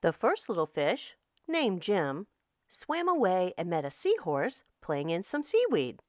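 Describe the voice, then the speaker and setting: A woman narrating a children's story as host, in an expressive, deliberate read-aloud style. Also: on the low side, no echo, clean studio sound. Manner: slow, animated, deliberate storytelling delivery.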